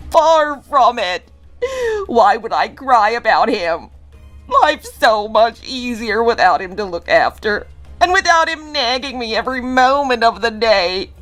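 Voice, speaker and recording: A woman's voice: fairly low pitch, expressive, measured pace, faint music behind. An actress playing a drunken old widowed mother in a drama, bitter and full of self-pity. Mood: scornful, tearful. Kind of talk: dramatic dialogue.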